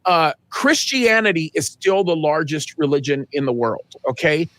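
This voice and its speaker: Raised-pitch earnest middle-aged pastor. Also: male voice, lively intonation, normal speed, fairly bright tone, no echo. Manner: earnest, restarts often, builds emphasis. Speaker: guest pastor. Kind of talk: conversational interview answers.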